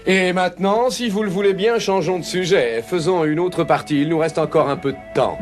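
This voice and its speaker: Man voicing a high-pitched, assured gentleman adventurer. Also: fairly quick, music in the background, natural voice. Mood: calm, assured. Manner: assured, precise, formal. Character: confident, unflappable English gentleman adventurer taking a bold wager. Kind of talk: French cartoon voice acting.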